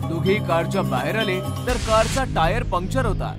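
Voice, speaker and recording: A man's voice: high voice, animated, normal speed, over loud music. A man narrating a scripted horror story in a dramatic storytelling style.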